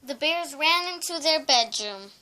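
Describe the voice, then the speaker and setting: A woman's voice: sits high, animated, measured pace, sharp tone. A young woman reading a children's storybook aloud, carefully, occasionally stumbling and repeating words. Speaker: storybook reader.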